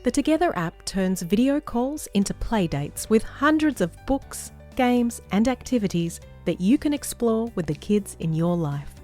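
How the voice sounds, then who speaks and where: A woman narrates a children's read-aloud with music playing behind her. Her pitch moves up and down in an animated way, while her pace stays unhurried and expressive. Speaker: children's story reader and book club host.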